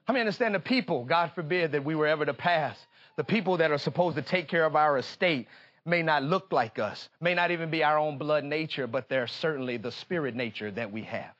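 An American man preaching a fervent sermon in an emphatic, repetitive call-and-response manner, moving between confiding talk and impassioned shouting. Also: fairly high pitch, fairly quick, lo-fi sound.

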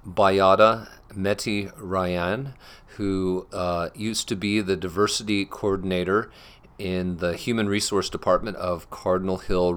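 A male presenter with a very low voice, introducing the next guest. His delivery is earnest and measured. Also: a bit bright. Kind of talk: introducing speakers.

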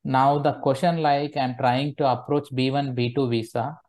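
A man calling in for advice, explaining his situation haltingly, with "like" and "right" scattered through his sentences. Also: mid-range voice, fairly even intonation, a little mellow. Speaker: caller asking for advice.

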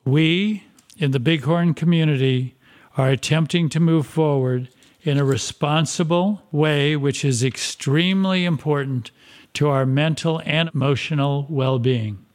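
A man hosting a community club podcast, reading a measured, earnest introduction. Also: on the high side.

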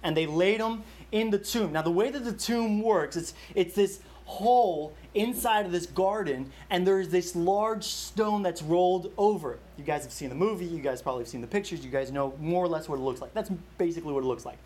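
A man's voice: high voice, a little fast, hardly any pauses, a little mellow. A young man leading a Bible study, telling a personal story in a casual, chatty way with many fillers and restarts.

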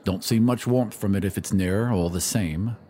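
A man's voice: on the low side, moderate pace, mellow, lightly acted. A man voicing a cold, lonely tramp who mutters and grumbles in an audio drama. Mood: grumbling.

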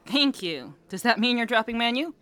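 A woman's voice: fairly high pitch, expressive, moderate pace, sharp tone. An actress playing a sassy, teasing young woman who is a die-hard soccer fan in an audio drama. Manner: sarcastic, bantering.

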